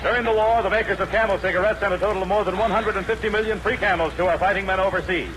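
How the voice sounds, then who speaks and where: A male American announcer from 1940s radio delivers scripted copy at a quick clip. His voice sits high, and the old broadcast recording is clearly noisy. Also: steady tone.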